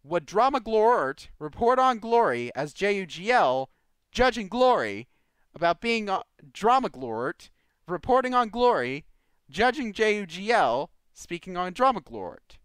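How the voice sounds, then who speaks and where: Lively, clear-voiced man hosting casually. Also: high voice, a little slow, dry recording. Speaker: host of a live community Q&A show. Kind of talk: casual live roundtable podcast, reading out questions.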